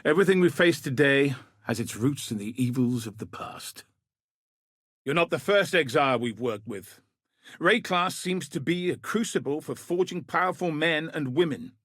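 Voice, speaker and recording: An actor playing a weary, battle-scarred veteran commander in a video game, speaking in a measured, somber, dry and plain-spoken way with a husky, rough edge. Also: male voice, on the high side, fairly bright tone, no room sound. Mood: guarded, grim. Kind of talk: video game character dialogue.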